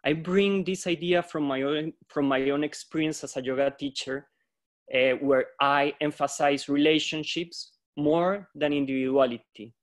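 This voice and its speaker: A man from Colombia, a yoga teacher, giving a reflective, measured talk on a video-call panel. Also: a little high, fairly even intonation. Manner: reflective, measured, quotes philosophers.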